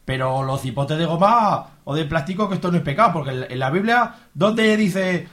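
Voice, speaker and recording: Man acting high-voiced excitable young joker. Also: normal speed. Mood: mock-serious. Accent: Aragonese. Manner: swooping, colloquial, clownish. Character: crude, excitable young joker, cheeky mate. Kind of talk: radio drama acting.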